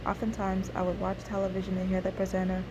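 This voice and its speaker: A woman whose native language is Igbo narrates a scripted first-person memoir, reading in a halting way with occasional slips. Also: medium pitch, monotone, background noise. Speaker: memoir author reading own story.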